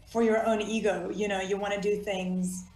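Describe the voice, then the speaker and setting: Casting note: young South African actress, Afrikaans first language, laughs easily, medium pitch, roomy echo. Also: female voice, little pitch movement, normal speed, darkish tone. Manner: bubbly, laughs often, many fillers. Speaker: actress guest. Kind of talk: casual interview chat. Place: home at night over a video call. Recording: echoey room.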